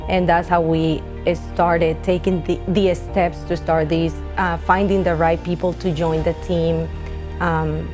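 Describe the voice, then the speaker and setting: A woman's voice: lowish voice, little pitch movement, unhurried, over music. A young woman from Honduras, founder of a nonprofit, giving an earnest, heartfelt testimony with some hesitations. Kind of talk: documentary testimony interview.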